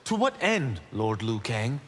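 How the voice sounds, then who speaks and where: An actor playing a cocky, boastful young martial-arts monk in video game fight banter. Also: male voice, medium pitch, big pitch swings, measured pace, big-room sound, slight background noise. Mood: respectful. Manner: brash, proud, playful.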